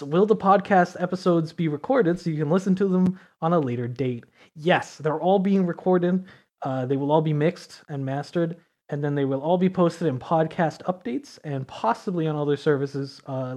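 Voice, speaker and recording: A man's voice: on the high side, darkish tone. Upbeat man hosting a podcast. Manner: upbeat, laughs often, reads news and questions. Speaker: podcast host. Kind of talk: casual podcast chat.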